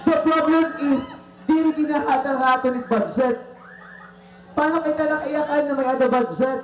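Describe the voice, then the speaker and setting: Young male Filipino activist, Waray accent, strikingly high voice, impassioned speech in echoey sound. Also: moderate pace. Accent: Filipino, Waray region. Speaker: student protest speaker. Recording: echoey rally recording.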